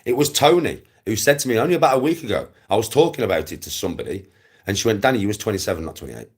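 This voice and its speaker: Male, 40s, English accent, rough husky low voice; emphatic, repetitive, foul-mouthed livestream rant. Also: expressive, very fast. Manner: sweary, blunt, repeats himself emphatically. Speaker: live streamer. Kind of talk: ranting casual livestream chat.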